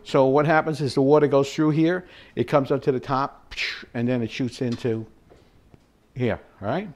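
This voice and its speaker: An older man talks his way through a recipe in his own kitchen, loose and chatty, full of "uh" and "all right" and little chuckles. His voice sits at an ordinary male pitch, picked up by a camera standing a few feet off. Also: fairly even intonation, moderate pace, a little mellow. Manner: chatty, rambling, with chuckles and fillers. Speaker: home cooking show host. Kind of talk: casual cooking demonstration. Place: home kitchen, indoors. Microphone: fixed camera at mid distance.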